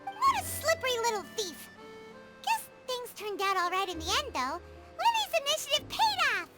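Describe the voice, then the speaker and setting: A tiny, excitable fairy companion from a video game. The voice is squeaky, childlike and extremely high, swinging with eager exclamations. Prominent music plays behind it. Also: female voice, moderate pace, full character voice. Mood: upbeat. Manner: speaks of herself in third person, exclamatory. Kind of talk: video game character acting. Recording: background music over the voice.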